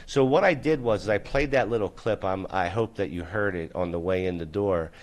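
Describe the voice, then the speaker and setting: A man's voice: deep voice, normal speed. A man hosting a casual live talk show, rambling and loose, with many fillers and some swearing. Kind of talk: casual live-stream chat.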